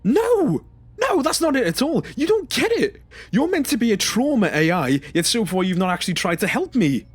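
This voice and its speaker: Man acting a traumatised, angry man with husky voice. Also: very high-pitched, big pitch swings, normal speed, no room sound, full character voice. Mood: agitated, distressed. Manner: impassioned, confrontational, emphatic. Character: traumatised, angry male former stasis-pod user demanding accountability. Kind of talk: audio drama acting.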